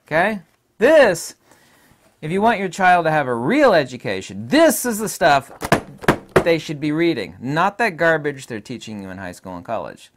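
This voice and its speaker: A middle-aged man hosting a casual book-recommendation talk from his home studio desk, emphatic and opinionated, his voice a little deep from allergies, on a lapel mic. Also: sing-song, moderate pace, slight background noise. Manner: emphatic and opinionated, trails off with fillers. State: voice deepened by allergies. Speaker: book reviewer and channel host.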